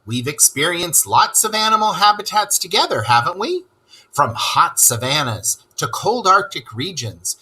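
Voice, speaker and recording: A man's voice: fairly high pitch, bright, forceful tone, breathy edge, full character voice, rough recording. A man voicing a cheerful male rat who is an animal expert and world traveler, teaching children and asking them questions. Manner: enthusiastic, asks the listener questions. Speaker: read-aloud teacher. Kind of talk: children's lesson read-aloud.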